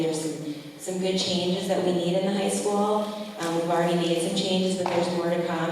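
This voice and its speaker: A woman's voice: lowish voice, a little mellow. A middle-aged woman making informal, off-the-cuff closing remarks as a school board candidate at a public forum. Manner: informal, loosely structured speaking. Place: large echoey room at a public forum. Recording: echoey hall from far off, clear noise.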